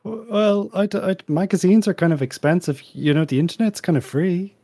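A man's voice: sits high, brisk, dark tone, no echo, studio quality. A man playing a hesitant, sheepish suspect under questioning in an improvised comedy radio play, talking casually. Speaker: podcast host.

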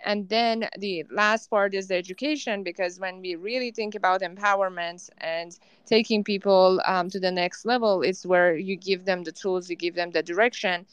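A woman guest explaining her organisation's work in a measured way with frequent ums. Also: medium pitch, fairly even intonation, smooth, dry recording. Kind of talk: casual conversation.

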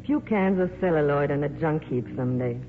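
An actress playing a world-weary, glamorous film star in a 1950s radio drama, with a wry, reflective, theatrical manner. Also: female voice, sits low, fairly quick, close to an everyday voice. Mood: wry, resigned. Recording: muffled, noisy 1950s radio recording.

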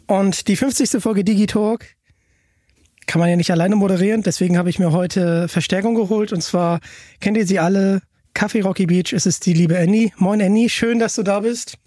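A man's voice: sits high, steady tone, moderate pace, dark tone, no room sound, studio quality. A man hosting a podcast in a casual, conversational style. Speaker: podcast host.

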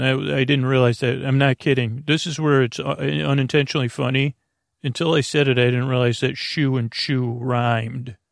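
A man's voice: mid-range voice, gravelly, dry recording, studio quality. A man hosting a bedtime podcast, rambling and meandering with lots of fillers and restarts, amused by his own tangents. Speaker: podcast host.

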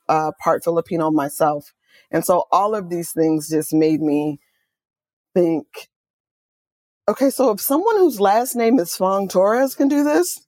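Lively storytelling woman, dry close sound. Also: lowish voice, no echo. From Oakland, California. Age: middle-aged. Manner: enthusiastic, animated storytelling with quoted asides. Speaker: guest author and music journalist. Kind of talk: conversational interview.

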